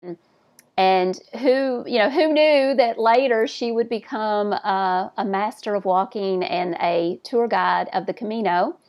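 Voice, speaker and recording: A woman's voice: medium pitch, unhurried, a little mellow. A woman hosting a travel podcast, asking questions and chatting in a casual, conversational way. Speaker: podcast host. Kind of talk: casual interview.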